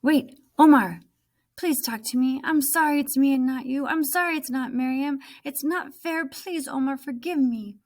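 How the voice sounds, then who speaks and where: Woman putting on high, earnest storybook girl. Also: moderate pace. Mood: pleading. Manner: eager, pleading, high-voiced.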